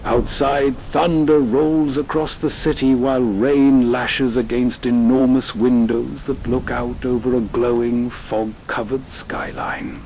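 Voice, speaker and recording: An older man hosts a nostalgia show at a slow, unhurried pace. His voice sits at a middling pitch, and he reads a measured, atmospheric script. A faint noise lies behind him. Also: a little mellow, poor audio. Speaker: host. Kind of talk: scripted introduction.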